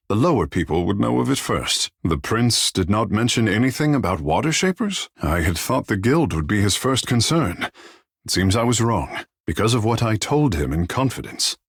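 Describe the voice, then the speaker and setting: A man playing a vain, flirtatious, sea-blessed godlike artist in a video game, husky and theatrical, with florid, playful delivery. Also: on the low side, sing-song, moderate pace, a bit bright, no room sound, clean studio sound, exaggerated voice. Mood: guarded, curious. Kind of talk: video game character dialogue.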